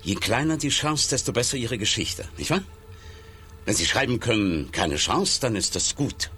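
An actor playing a blunt, hardened surgeon in a radio drama, wry and matter-of-fact. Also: male voice, mid-range voice, animated, moderate pace, sharp tone, slightly rough, in a large hall, faint music behind. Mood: irritated, blunt. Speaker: surgeon and hospital doctor.

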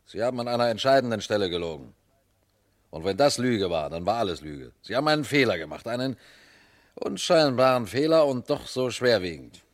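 An actor playing a shrewd, unhurried police detective who narrates his case in a radio crime drama, deliberate and pointed. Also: medium pitch, animated, lightly acted. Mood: insistent, accusing. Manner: deliberate, pointed, addresses listeners directly.

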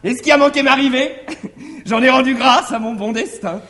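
An actor playing a cunning, cheeky servant in a classical French stage farce, with exaggerated, declamatory delivery. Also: male voice, very high-pitched, moderate pace, fairly bright tone. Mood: mock philosophical. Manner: exaggerated, declamatory, swinging from wounded to mocking. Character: cunning, cheeky, theatrical male servant and schemer. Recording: echoey, faintly noisy 1960s stage recording.

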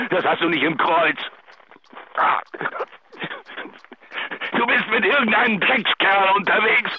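An actor playing a betrayed, bitter husband leaving emotional, halting phone messages in a German radio drama. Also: male voice, on the high side. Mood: bitter, agitated. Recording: dull answering-machine sound, faint noise.

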